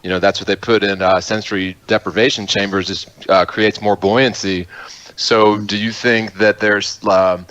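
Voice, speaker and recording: A man with a fairly low voice chats casually, thinking out loud in a loose, laid-back ramble. The audio is dull and lo-fi, like an online call. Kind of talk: casual chat.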